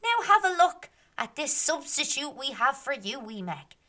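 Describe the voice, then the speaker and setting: Scolding wee fairies barking orders, a woman doing them, sharp bright tone. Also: mid-range voice, very animated, unhurried, heavily acted. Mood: commanding. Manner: scolding and commanding. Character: scolding band of small fairy folk.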